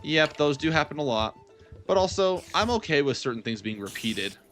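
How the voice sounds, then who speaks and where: A man's voice: fairly high pitch, sing-song, moderate pace, fairly bright tone, faint music behind. A young man chatting casually as he hosts a gaming livestream, rambling and thinking aloud as he answers his viewers. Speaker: livestream gamer host.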